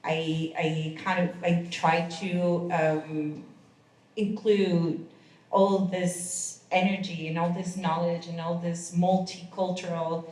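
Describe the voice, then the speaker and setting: Woman, low pitch, very slow, hesitant, mic at a distance, echo. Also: little pitch movement, a little mellow. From Colombia. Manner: halting, many restarts and fillers. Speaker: costume designer, panelist. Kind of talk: self-introduction at a conference. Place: conference room. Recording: echoey room, far from the mic.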